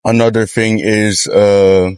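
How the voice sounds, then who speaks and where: A man's voice: fairly low pitch, level tone, slow, a little mellow, clear, resonant tone, dry recording. A young man from the Philippines answering a street interview, hesitant with many fillers. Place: outdoors on a street.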